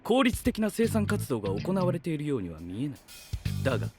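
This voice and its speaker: A man's voice: on the high side, huge pitch swings, brisk, creaky, exaggerated voice. A man playing a coolly analytical young knight in an anime voice drama, speaking in a clipped, formal, matter-of-fact way. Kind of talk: Japanese anime voice drama acting. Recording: music behind the voice.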